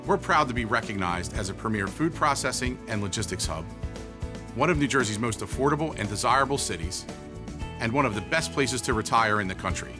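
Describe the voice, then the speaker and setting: A man's voice: medium pitch, lively intonation, a little fast. Man reading an upbeat promo over music. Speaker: ad speaker. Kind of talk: scripted promotional read. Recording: ad with music bed.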